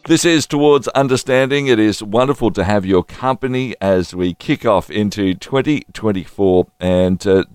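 A man hosting a radio interview, friendly and halting, with many restarts. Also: fairly low pitch, a little mellow, clean tone, faint music behind. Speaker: radio host.

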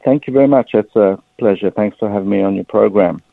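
Male interview guest, 50s, South African accent. Deliberate, fairly low delivery, narrow phone-quality sound. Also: gravelly, no room sound. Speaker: interview guest, religious ministry leader. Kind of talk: measured personal account in an interview.

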